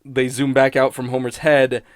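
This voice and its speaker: A man's voice: medium pitch, completely flat delivery, normal speed, no room sound, clean studio sound. A man rambling casually and sardonically in an unscripted review, swearing freely like a podcast critic.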